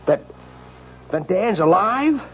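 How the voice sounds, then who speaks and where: High-pitched, panicky cowardly schemer. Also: male voice, very animated, slow pace, heavily acted. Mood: nervous. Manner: stammering, pleading. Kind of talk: 1970s radio drama acting. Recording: dull, noisy vintage radio recording.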